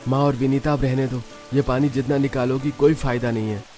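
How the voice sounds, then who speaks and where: A man's voice: mid-range voice, little pitch movement, fairly quick, a little mellow, faint music behind, natural voice. A man voicing a worried, caring husband and father from a poor village in a cartoon. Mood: concerned.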